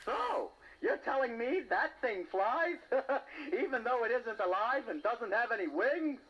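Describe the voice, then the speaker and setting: A man's voice: extremely high pitch, lively intonation, a little slow, muffled recording, exaggerated voice. A man voicing a chatty, easygoing snail in a children's audio storybook, jaunty and matter-of-fact. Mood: easygoing, curious.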